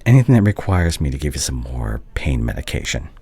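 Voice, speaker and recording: A man acting a conflicted doctor and caring ex-boyfriend in an intimate roleplay drama, halting and earnest, with a husky, breathy voice. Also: extremely low pitch, sing-song, dark tone, exaggerated voice. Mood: gentle, hesitant. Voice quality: husky, breathy, often dropping to a whisper.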